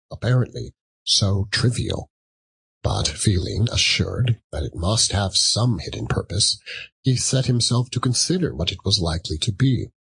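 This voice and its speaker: A man reads literary narration with a storyteller's drama. His voice is very husky and fairly low, moving through wide swings of pitch at a measured, unhurried pace. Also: a bit bright, low-quality recording. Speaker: audiobook narrator.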